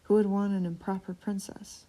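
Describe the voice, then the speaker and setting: A woman's voice: medium pitch, level tone, moderate pace, mellow, close to an everyday voice, rough recording. A woman voicing a stuffy, irritable dragon. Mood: huffy.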